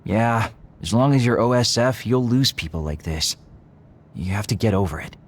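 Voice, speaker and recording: A man's voice: fairly low pitch, lively intonation, moderate pace. A man voicing a flippant, easygoing young soldier in an anime-style video game, playful and breezy. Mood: blunt.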